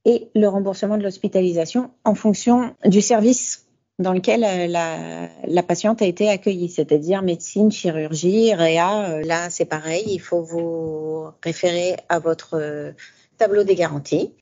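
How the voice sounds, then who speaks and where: Mellow-voiced woman explaining coverage, hesitant. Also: on the low side, fairly even intonation, moderate pace. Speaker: insurance fund expert. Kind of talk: informational interview answers. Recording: dull lo-fi sound.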